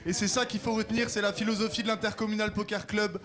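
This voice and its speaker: A man hosting a live event in a hyped, loud style, rallying the audience. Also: high-pitched, level tone, fast. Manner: loud, hyping up a crowd. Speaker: event host. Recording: loud music and cheering crowd behind.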